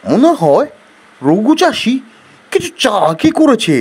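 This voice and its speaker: Sly, self-excusing cartoon headman played by a man, husky with big pitch swings. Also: huge pitch swings, slow, a little mellow, heavily acted. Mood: dismissive, sly. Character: sly, blame-shifting village headman. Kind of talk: moral story cartoon acting.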